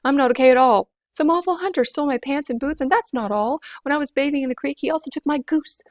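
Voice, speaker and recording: A woman's voice: high-pitched, fast talker, dry recording, studio quality, voice pushed up, natural voice. A woman voicing an upset, soaking-wet young boy in a storybook who pleads for help. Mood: upset, pleading. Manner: distressed, pleading.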